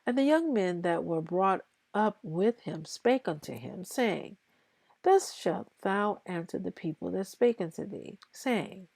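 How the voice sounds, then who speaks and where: Lady reading bible out loud real slow, lowish voice, halting phrases, dry close sound. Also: lively intonation, creaky, slightly rough, dry recording. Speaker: scripture reader. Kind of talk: reading scripture aloud.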